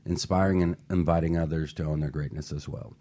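Male radio co-host, low voice, motivational and emphatic, moves between scripted pitch and banter. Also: fairly even intonation, fairly quick, warm tone. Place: radio studio.

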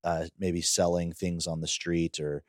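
A man's voice: sits low, flat delivery, unhurried, darkish tone, no room sound, clean studio sound. A man co-hosting a podcast, chatting casually and recounting things in an informal, loosely rambling way. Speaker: podcast co-host. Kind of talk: casual chat, personal recollection.

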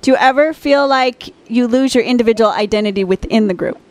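A woman hosting a radio show, asking guests questions briskly and upbeat. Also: a little high. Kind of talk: radio interview.

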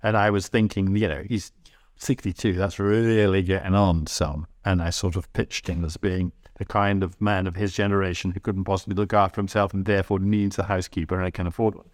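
A man in his sixties talking reflectively in a casual interview as a writer, halting and repeating words as he thinks. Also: deep voice, steady tone, dry recording, studio quality. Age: 60s. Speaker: writer and voice actor. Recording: music behind the voice. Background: plucked guitar music.

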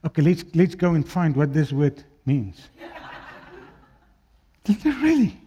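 Lively Afrikaans-accented preacher, high voice. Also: male voice, unhurried, lo-fi sound, a little hiss or hum. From South Africa. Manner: swings from loud declaiming to quiet, low asides. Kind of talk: sermon.

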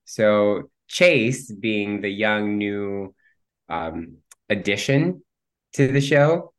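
A man with a fairly low voice, talking playfully about his acting work. His first language is Spanish, and he chats casually with frequent ums and quick laughs. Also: animated. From Cuban heritage. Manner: chatty, playful, laughs easily, many ums. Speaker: guest actor. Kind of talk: casual interview chat.

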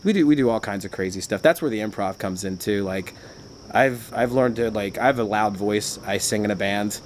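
A man's voice: on the low side, brisk, slight background noise. Rambling, chatty man who works a bar. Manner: rambling, chatty, with frequent restarts. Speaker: bar worker. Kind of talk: casual chat.

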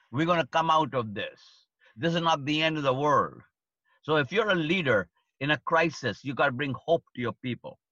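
A man teaching leadership over a livestream, hammering his points home by saying them again and again. His voice is bright and forceful. The sound is dry and slightly dull. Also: a little high, no room sound. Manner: emphatic, repeats key phrases for stress. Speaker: leadership speaker.

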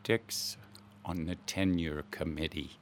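A man's voice: a bass voice, steady tone, slow pace, no echo. A man acting the part of an irritable, defensive middle-aged husband and professor in a radio drama. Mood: irritated, defensive.

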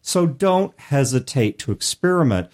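A man's voice: medium pitch, very animated, a little slow, darkish tone. A man hosting a podcast in a casual, conversational style, discussing and giving advice. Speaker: podcast host.

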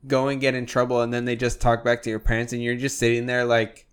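A young man in his twenties from Mexico, hosting a relaxed podcast chat. He talks loosely, leans on fillers, and swears casually. His voice sits at an ordinary middle pitch for a man. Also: quick pace, smooth. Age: 20s. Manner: loose and conversational, many "like" fillers, swears freely.

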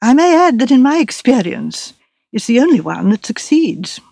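A dry little elderly solicitor played by a woman reading a storybook speaks with fussy, pedantic precision. The pitch lifts above an ordinary reading voice, and the sound is close and dry. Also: very animated, moderate pace, creaky, warm tone, no echo, full character voice.

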